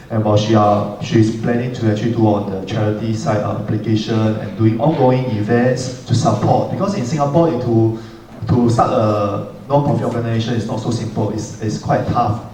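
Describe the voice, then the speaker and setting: A man with a mellow, dark voice speaks hesitantly at a panel, stopping often to find his words. The sound is distant and very echoey, with faint noise behind it. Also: medium pitch. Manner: hesitant, many fillers. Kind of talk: conference panel talk. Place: conference hall. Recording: echoey hall, far from mic.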